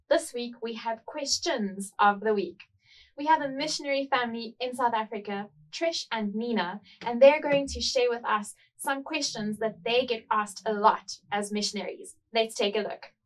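Young female presenter, scripted and upbeat, higher voice, a bit off-mic. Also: normal speed, fairly bright tone, distant mic, poor audio. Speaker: kids' program presenter. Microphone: handheld mic.

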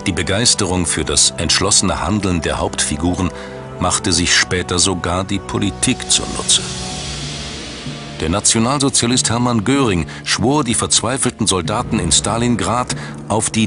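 A man narrating a scripted documentary in a measured, dramatic storytelling manner. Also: deep voice, expressive. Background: background music.

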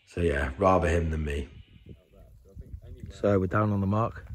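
A man in his thirties talking casually about a fishing trip, upbeat and quick to cheer when a fish comes in, recorded on a camera mic. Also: low voice, over music. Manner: upbeat, excitable when fish are caught. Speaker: fishing vlogger. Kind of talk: casual vlog narration. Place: outdoors on rocky coast and indoors in a rented room.

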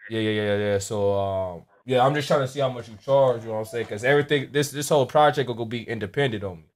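A young man with an American accent talks in a medium-pitched voice. His speech is casual and full of slang, with stuttered, repeated words, like someone making a prank call from his room at home. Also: fast. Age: young adult. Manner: slangy, stammering repetitions. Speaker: prank caller posing as a rapper client. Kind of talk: casual phone prank chat.

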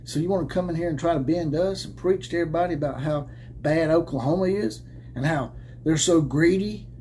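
A man's voice: a little high, brisk, darkish tone, a little hiss or hum. A man in his thirties hosting a college football commentary channel, ranting to camera in a combative, sarcastic way with mocking laughs, filmed indoors at a desk camera. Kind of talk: opinionated rant to camera. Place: indoor room with caps hung on the wall. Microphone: camera at desk framing head and shoulders.

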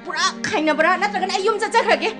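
A woman's voice: very high-pitched, very fast. A woman in a radio play, playing a discontented, ambitious village wife with a nagging, emphatic manner. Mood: insistent, defiant. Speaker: radio drama actress. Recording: music under the voice.

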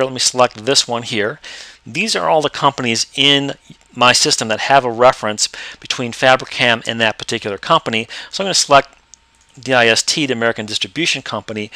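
A man explaining step by step how to use accounting software in a screen-recorded tutorial. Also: mid-range voice, dry recording. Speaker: software trainer.

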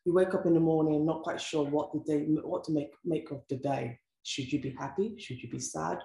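Low gravelly woman hosting, echoey room. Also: fairly even intonation, brisk, dark tone. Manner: effusive, rhetorical questions. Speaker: host. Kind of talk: enthusiastic interview hosting. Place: room with sofa, indoors. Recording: echoey room with faint music.

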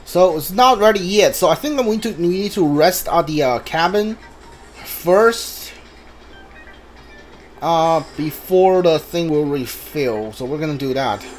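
A gamer talks through a live playthrough in a casual, unhurried voice of medium pitch. The delivery is halting, with thoughts spoken aloud and muttered asides, while game music plays behind. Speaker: video game player and commentator. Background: video game music.

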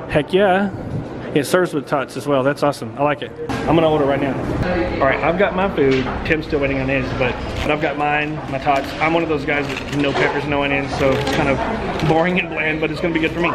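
A man's voice: a little high, steady tone, a little fast, noisy background. A man from Texas in his forties, vlogging casually on a camera held at arm's length, chatty and goofy and whooping on the rides. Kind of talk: casual theme park vlog. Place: outdoor theme park and gift shops. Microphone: handheld camera at arm's length. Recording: loud crowd and music behind. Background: crowd and park music.

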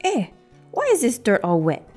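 A tired young man from a storybook, acted by a woman, exclaims in puzzlement and indignation. The pitch moves in a lively way, and music plays behind. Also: moderate pace. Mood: puzzled. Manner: exclamatory, muttering questions to himself.

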